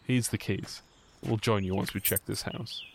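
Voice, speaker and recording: A man's voice: on the low side, steady tone, moderate pace, a little hiss or hum, natural voice. An actor playing an offhand, chummy henchman in a horror audio drama.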